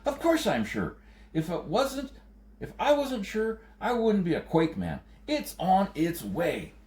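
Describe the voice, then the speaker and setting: A man's voice: high voice, wildly swooping pitch, unhurried, a little mellow, voice pushed up, exaggerated voice. A man voicing an agitated, urgent male farmer who senses earthquakes, in an audiobook story. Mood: indignant, urgent. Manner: urgent, emphatic. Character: agitated, screeching male quake-sensing farmer, urgent and insistent.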